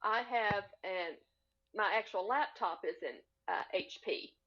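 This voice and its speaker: A woman talks casually as a guest on a podcast. Her pitch is average for a woman, and the video-call audio is a little dull and lo-fi. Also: measured pace. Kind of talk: casual chat. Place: remote split-screen video call.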